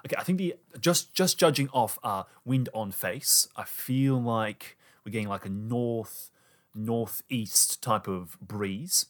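A man's voice: medium pitch, slow pace, natural voice. A man improvising a rambling, oblivious hiker who over-explains every procedure in an audio roleplay. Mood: earnest, planning.

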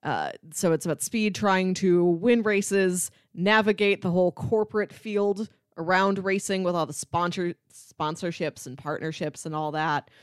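A woman's voice: on the low side, unhurried, few pauses, smooth, no echo, studio quality. A woman co-hosting a podcast, casually discussing and summarizing a film. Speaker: podcast co-host.

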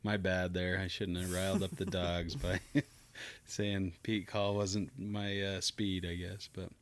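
A man's voice: low voice, little pitch movement, a little slow. A man in his forties co-hosting a podcast in casual chat, laughing often and joking at his own expense. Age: 40s. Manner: laughs often, self-deprecating. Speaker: podcast co-host.

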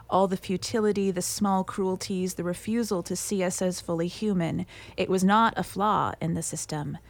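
A woman with a Canadian accent hosting a podcast with reflective narration. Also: lowish voice. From Ontario, Canada. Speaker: podcast host, reverend.

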